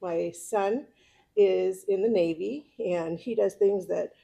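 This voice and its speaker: A middle-aged American woman speaks informally, as a club member giving a personal talk. Her voice has a noticeable creak at an ordinary pitch, and her manner is chatty. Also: slow, dark tone. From California, United States. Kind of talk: informal autobiographical speech.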